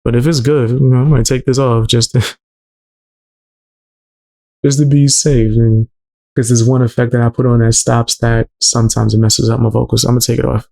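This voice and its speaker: Gravelly low-voiced male streamer. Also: little pitch movement, moderate pace, warm tone. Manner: low-toned, laid-back, chatty with viewers. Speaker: livestream host. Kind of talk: casual livestream chat.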